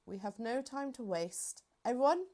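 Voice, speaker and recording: A woman's voice: a little high, animated, moderate pace, a little mellow, lightly acted. A woman playing a resolute, dignified starship general in a sci-fi fan roleplay, formal and authoritative. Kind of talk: scripted fan audio roleplay.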